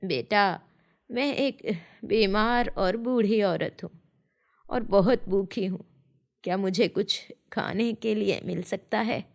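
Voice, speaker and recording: A woman's voice: medium pitch, slow pace, gravelly. Woman as a grateful, pleading old beggar woman. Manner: pleading, blessing. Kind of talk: animated children's fairy tale.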